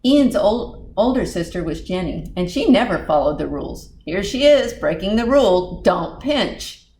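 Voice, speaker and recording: A woman's voice: mid-range voice, big pitch swings, moderate pace, dark tone. A woman reading a children's storybook expressively, with asides spoken directly to young listeners. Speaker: children's librarian, storytime reader. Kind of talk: animated children's storybook read-aloud with asides.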